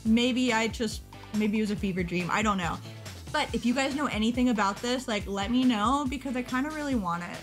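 A young woman from the United States vlogging about a model kit event, excitable and rambling, interrupting herself and laughing, a little tired. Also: fairly high pitch, a little fast, soft background music. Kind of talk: casual chat over a webpage.

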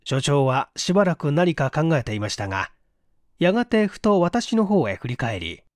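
Male narrator, mystery audiobook, higher-pitched, highly expressive intonation, measured storytelling, dry close sound. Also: no room sound. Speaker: audiobook reader, stage actor.

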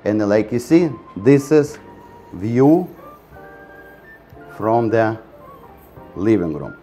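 A middle-aged man with a fairly low, mellow voice speaks in as he leads a walk-through of a condo. His talk is chatty and full of repeats, and he keeps calling the viewers "guys." Soft background music plays faintly under him. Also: sing-song, extremely slow. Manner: chatty, repeats "guys" and "again", non-native grammar. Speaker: real estate agent. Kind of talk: walk-through property tour. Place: bright condo, indoors and on an ocean balcony.

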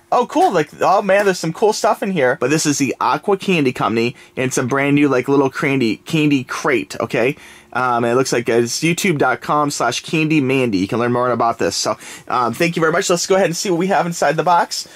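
Man, mid-pitched voice, sniffly summer cold, casual rambling unboxing host, fillers and restarts. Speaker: toy channel host. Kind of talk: casual unboxing chat to viewers. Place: indoors at a table.

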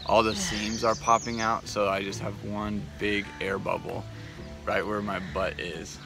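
A young man with a low, gravelly voice, joking around beside a phone held at arm's length in the woods. He grumbles in a relaxed, good-humored way. Music plays clearly behind him. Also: unhurried. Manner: laid-back, joking complaints. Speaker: hiking partner. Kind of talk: casual trail vlog. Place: outdoors by a road in green woods. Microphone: her phone camera at arm's length. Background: background music.

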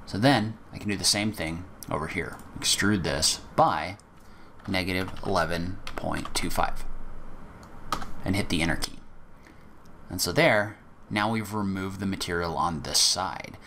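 A man teaching a software tutorial, explaining each step methodically in a casual way with occasional fillers. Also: low voice, measured pace, a little hiss or hum. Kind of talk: screen-recorded software walkthrough.

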